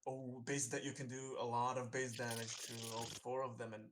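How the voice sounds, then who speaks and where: A man's voice: mid-range voice, little pitch movement, fairly quick, a bit bright, a little hiss or hum. A man talking casually as a player in a tabletop roleplaying game.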